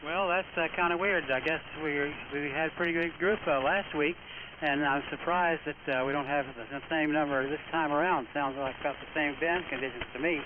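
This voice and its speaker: A man's voice: on the high side, steady tone, brisk. A man hosting an amateur radio net in a folksy, encouraging, conversational way. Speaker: net control host. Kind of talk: casual ham radio net chat. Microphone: radio microphone. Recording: phone-like radio audio, faint static.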